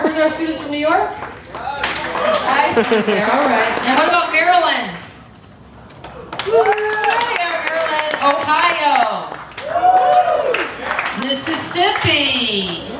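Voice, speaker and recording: A woman's voice: sits high, expressive, takes it slowly, long pauses. A woman welcoming students to a camp, upbeat and calling out to the audience over a PA in a big tent. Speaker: event organizer. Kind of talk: welcome speech to a crowd. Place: large event tent, from far off. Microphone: PA system, recorded by a phone in the audience. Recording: echoey tent, noisy crowd, distant. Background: crowd cheering.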